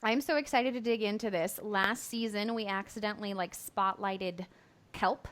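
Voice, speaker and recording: A woman's voice: medium pitch, fairly even intonation, moderate pace, a bit bright. A woman hosting a podcast interview, enthusiastic and affirming toward her guest. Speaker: podcast host. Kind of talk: casual interview conversation.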